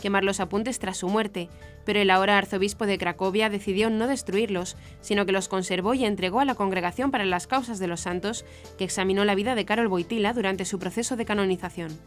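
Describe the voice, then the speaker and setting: A woman reads scripted news. Her voice sits at an average pitch for a woman, and her delivery is formal and measured. Light music plays behind her. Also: steady tone. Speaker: news presenter. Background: background music.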